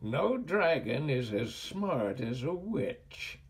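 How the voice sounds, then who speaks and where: Man doing a feisty old witch, animated and husky. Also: mid-range voice, full character voice. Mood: triumphant. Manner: theatrical, drawn-out exclamations. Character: feisty, crafty old witch, scolding and boastful.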